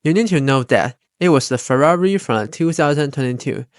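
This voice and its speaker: A man's voice: fairly high pitch, lively intonation, brisk, dry recording, clean studio sound. A man reading scripted narration as a fan commentator, with non-native phrasing and grammar slips.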